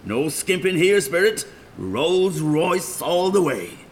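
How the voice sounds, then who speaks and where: A demanding, vain old Dublin dead man in a radio play. His gravelly Irish voice runs high for a man and swings wildly in pitch. He takes his time, blustering and full of self-pity. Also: very animated, takes it slowly, slight background noise. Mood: smug. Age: older. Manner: blustering, stammering when upset.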